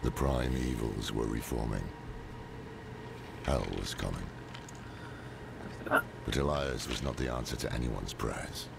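Very deep, gravelly old demon hunter. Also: male voice, noisy background, full character voice. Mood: solemn. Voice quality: very gravelly, rumbling. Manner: grave, deliberate, sparing with words. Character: grim old male demon hunter, weary and blunt. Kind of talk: dramatic game dialogue and narration.

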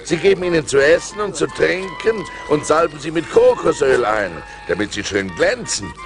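A man's voice: fairly high pitch, big pitch swings, normal speed, music in the background. An actor playing an adventurous seafarer recounting his voyages in a theatrical, precise German audio-play style, on a vintage vinyl record. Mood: grave, dramatic. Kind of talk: dramatic scripted storytelling, audio play.

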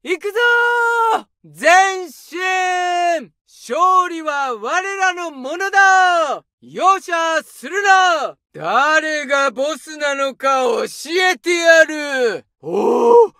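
An aggressive young infantryman; high, slow shouted callouts with a gravelly edge. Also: lively intonation, no echo, clean studio sound, exaggerated voice. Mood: battle cry. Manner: barked orders and battle shouts. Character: young male Japanese infantry soldier, aggressive. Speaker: soldier voice lines. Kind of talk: game combat callouts.

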